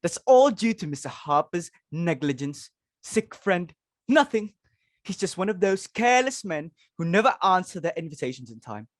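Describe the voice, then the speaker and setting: A woman performs a social-climbing mother and hostess in a stage play. She is fussy and imperious, forever exclaiming. Her pitch leaps about in a very dry recording. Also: very animated, normal speed, no room sound. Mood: flustered. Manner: exclamatory, fretful, commanding.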